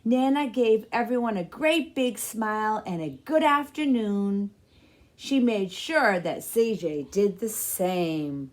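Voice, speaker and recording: A woman reading a children's picture book aloud as a storyteller, with deliberate, expressive phrasing. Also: mid-range voice.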